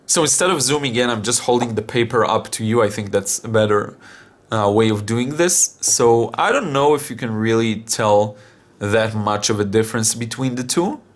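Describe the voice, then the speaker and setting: A young man demonstrating watercolor paints, talking casually with many fillers, his voice still a little deep after being sick. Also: moderate pace. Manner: relaxed, thinking aloud with many fillers. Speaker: art channel host.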